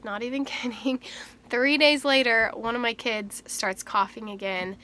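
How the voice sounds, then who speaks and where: A woman's voice: fairly high pitch, bright, forceful tone, slight background noise. A woman, a mother of three, chatting candidly to camera in a casual vlog, slightly congested and nasal, laughing often.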